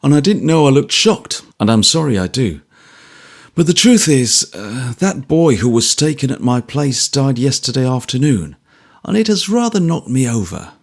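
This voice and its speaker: A man as a troubled, gruff ex-soldier. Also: medium pitch, very animated. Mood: apologetic. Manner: earnest, apologetic, halting.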